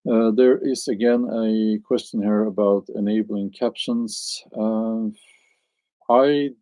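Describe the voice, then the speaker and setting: A man's voice: on the low side, fairly even intonation, slow, mellow, no echo. A man with a Norwegian accent, chatting on a live stream and answering his viewers, hesitant and musing as he searches for words. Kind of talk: casual live stream chat.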